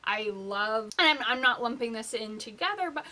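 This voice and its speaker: A young woman hosting a pop culture vlog, chatting casually in an animated, halting, informal way with emphatic asides. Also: fairly high pitch. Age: young adult. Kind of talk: casual opinionated vlog chat.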